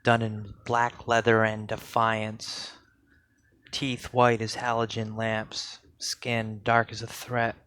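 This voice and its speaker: A man reads poetry aloud in a solemn spoken-word style, with deliberate, measured phrasing and pauses. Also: on the low side, monotone, a bit bright, clean tone. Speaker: poetry reader.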